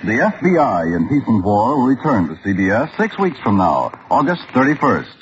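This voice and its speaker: A man's voice: mid-range voice, sing-song, normal speed, slight background noise. A man announcing a 1950s radio crime drama in a dramatic broadcast manner. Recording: dull lo-fi old radio broadcast.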